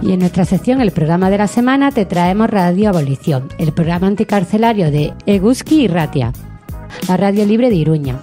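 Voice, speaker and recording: A woman's voice: mid-range voice, lively intonation, a little fast, over loud music. A woman with an accent from Spain presenting a radio magazine show from a script. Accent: Spanish from Spain.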